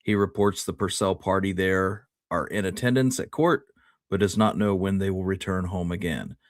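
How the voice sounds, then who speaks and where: Male history co-host, deep voice, informal researcher talk with read-out archive items, dry sound. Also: fairly even intonation, moderate pace, no echo. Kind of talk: casual discussion, reading out old newspaper items.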